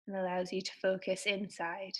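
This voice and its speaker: A woman leading a guided meditation, speaking in a soothing, gentle manner with unhurried phrases and pauses. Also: mid-range voice, completely flat delivery.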